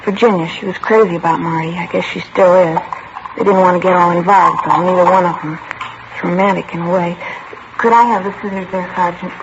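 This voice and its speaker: Woman doing a cagey young gift wrapper, talks fast, muffled old radio with hiss. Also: fairly low pitch, fairly even intonation, natural voice. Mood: evasive. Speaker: gift-shop wrapper questioned by police. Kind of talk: 1950s radio drama acting.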